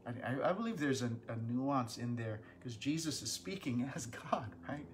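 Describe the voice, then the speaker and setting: A man, a pastor teaching a Bible study from home, earnest and animated, with bursts of emphasis. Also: medium pitch, moderate pace, slight background noise. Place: small living room at home.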